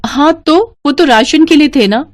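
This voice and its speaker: A woman's voice: sits high, normal speed, no room sound, voice pushed up, natural voice. A woman voicing a put-upon, plaintive young newlywed daughter-in-law in a cartoon story. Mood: defensive, pleading.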